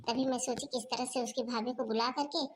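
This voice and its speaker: A woman voicing a scheming, sharp-tongued older mother-in-law in a homemade family skit, talking in a scolding, chatty way. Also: a little high, fairly even intonation, a little fast, a little hiss or hum, close to an everyday voice. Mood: reassuring. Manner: scolding, talkative.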